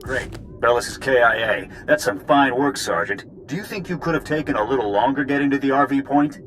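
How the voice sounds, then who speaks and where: A man's voice: medium pitch, lively intonation, fairly quick, fairly bright tone, soft background music, close to an everyday voice. A man playing a stern, sarcastic army officer in a video game, giving orders in a biting, authoritative manner. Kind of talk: video game cutscene acting.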